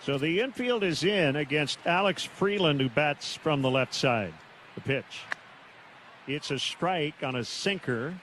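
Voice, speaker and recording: A man with a fairly high voice calls a baseball game live for radio. He works as the play-by-play announcer from a stadium booth, with noticeable ambient noise behind him. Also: lively intonation, unhurried, fairly bright tone. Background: outdoor ambient stadium noise.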